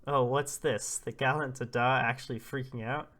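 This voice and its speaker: Guy doing a brash villager, loud and blunt, lively story character. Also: medium pitch, a little fast, clean tone, natural voice. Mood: teasing.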